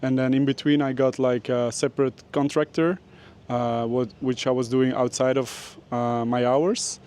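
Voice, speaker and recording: A young man from Belgium rambles through an answer in a casual outdoor interview. He pauses often as he searches for the right words. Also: medium pitch, flat delivery, a little mellow, smooth. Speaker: interviewee, graphic designer.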